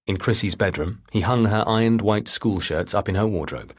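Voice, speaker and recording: A man narrating a thriller novel with a husky voice and measured, deliberate phrasing. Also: lowish voice. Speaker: audiobook narrator.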